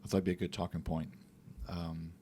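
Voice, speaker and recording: A man co-hosting a podcast, talking in a relaxed, reflective way about faith and daily life, sometimes acting out little quotes. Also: low-pitched, fairly quick, slight background noise. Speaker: podcast co-host. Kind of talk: casual faith talk.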